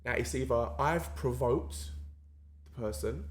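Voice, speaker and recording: Young Cockney man, mid-pitched, earnest podcast guest who keeps checking in with the listener. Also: unhurried. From London. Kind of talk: candid casual conversation.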